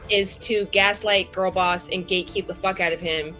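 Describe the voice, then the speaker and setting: Very bright-voiced chatty woman over music. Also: lowish voice, fairly even intonation, normal speed. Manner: chatty, slangy, filler-heavy. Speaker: tabletop roleplaying player. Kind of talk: casual, joking character introduction.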